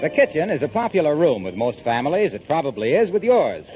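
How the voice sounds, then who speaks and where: A man's voice: a little high, sing-song, brisk, over loud music, poor audio. A man working as a 1940s radio announcer, delivering scripted advertising in a conversational, salesmanlike way. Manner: conversational salesmanship addressed to housewives. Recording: vintage 1940s radio broadcast.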